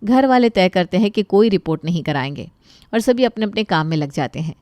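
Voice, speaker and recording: Casting: female narrator for moral tales, expressive storytelling, mid-pitched, very dry sound. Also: a little fast, no room sound.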